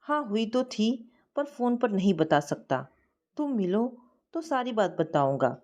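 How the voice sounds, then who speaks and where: A woman's voice: mid-range voice, very animated, a little slow, a little mellow. A woman voicing a downcast, troubled young man in love in an audio storybook, dejected and reluctant.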